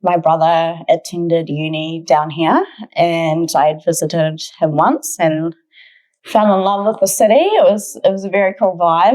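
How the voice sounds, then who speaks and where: A woman's voice: lowish voice, a little mellow. A woman in her twenties with a New Zealand accent, telling her own story earnestly and enthusiastically as a podcast guest in a conversational way. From Auckland, New Zealand. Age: 20s.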